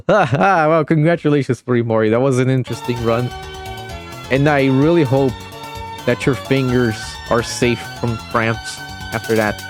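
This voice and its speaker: A man's voice: mid-range voice, measured pace, soft background music. A man giving casual live commentary on a game replay stream, chatting with viewers and laughing often.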